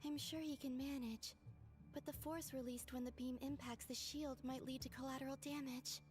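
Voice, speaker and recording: A woman playing a gentle, pensive young moon maiden in a video game, with a soft, breathy, musing delivery. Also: high voice, steady tone, moderate pace, over music, close to an everyday voice. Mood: worried. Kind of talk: video game voice acting, inner monologue.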